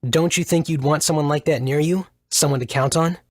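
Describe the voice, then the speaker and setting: A man's voice: fairly high pitch, steady tone, brisk, no room sound, studio quality, close to an everyday voice. A man voicing a kindly, thoughtful young mage in a video game, easygoing and reflective. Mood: gently reflective.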